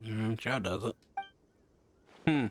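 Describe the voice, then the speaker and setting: A man's voice: on the low side, slow pace, slight background noise. A man casually commenting on a game as a reaction host, with laid-back, muttered short remarks. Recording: game music behind.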